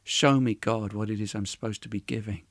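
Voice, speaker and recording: A man voicing a patient, prayerful man in a told story, pleading earnestly for guidance in measured words. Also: fairly low pitch, steady tone, a bit bright, no room sound, studio quality, pitched below the performer's own voice. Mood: quiet prayer. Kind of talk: storytelling character voice.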